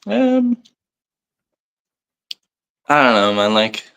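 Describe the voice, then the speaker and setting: A young man from Liverpool with a soft English accent and a slight American tinge, a wrestler chatting loosely as a guest, full of fillers and self-deprecating asides. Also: medium pitch, huge pitch swings, extremely slow, creaky, clean tone. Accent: soft English, light Americanisms. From Liverpool, England. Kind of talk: casual podcast interview.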